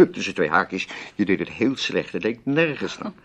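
Caring pushy old friend in a radio play, lowish voice, familiar way of talking. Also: male voice, big pitch swings, slow, gravelly, full character voice. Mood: fond, teasing. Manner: gently probing, familiar. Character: concerned, teasing, persistent male theatre friend. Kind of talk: radio drama acting.

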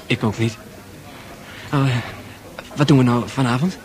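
An actor playing a shy, tender young suitor in a radio play, halting and stammering as he speaks, earnest and protective. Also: medium pitch, fairly even intonation, slight background noise, natural voice. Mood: nervous, eager. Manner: halting, stammering, repeats words. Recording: music behind the voice.

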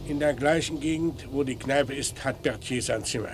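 An actor playing a brisk, probing police inspector who questions and orders tersely in an old German crime radio play. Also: male voice, medium pitch, noisy background, natural voice. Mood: commanding.

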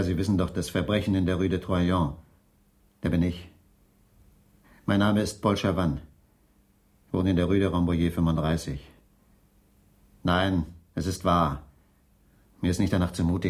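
An actor in a German radio drama playing a shattered, bitter husband with a terse, subdued delivery and weary resolve. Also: male voice, sits low, darkish tone, close to an everyday voice.

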